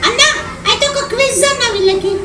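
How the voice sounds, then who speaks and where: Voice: fast, loud music behind the voice. ఒక పప్పెట్ కళాకారుడు ఆండీ అనే చిలిపి చిన్న పిల్లాడి బొమ్మకు కీచు గొంతుతో మాట్లాడిస్తున్నాడు, పిల్లలతో సరదాగా ఆటపట్టిస్తూ. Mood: cheeky. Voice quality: high squeaky puppet voice. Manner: chatty, teasing, addresses kids and calls everyone brother. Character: cheeky little boy puppet, chatty and playful. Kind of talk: live puppet show for children. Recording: echoey hall from far off, loud crowd and noise.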